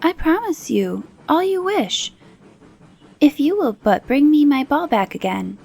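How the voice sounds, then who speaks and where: Woman as a high, girlish spoiled storybook princess. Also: big pitch swings, unhurried, vocal fry, darkish tone, heavily acted. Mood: eager. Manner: high-pitched, girlish, emphatic. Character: young spoiled princess, fretful and eager. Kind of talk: bedtime fairy-tale reading.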